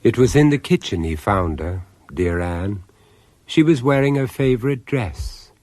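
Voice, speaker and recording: A man narrating a radio horror drama as an urbane storyteller, deliberate and theatrical, pausing to savour each phrase. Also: lowish voice, expressive, a little mellow, no room sound. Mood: ominous, savouring.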